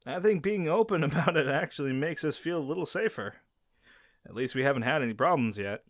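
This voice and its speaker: An actor playing a friendly young male werewolf who shows a silent listener around his pack's home, easygoing and quick to laugh. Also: fairly high pitch, expressive, no room sound. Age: young adult. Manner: easygoing, laughs often, answers unheard questions. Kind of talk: audio roleplay to a silent listener. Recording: dry close recording.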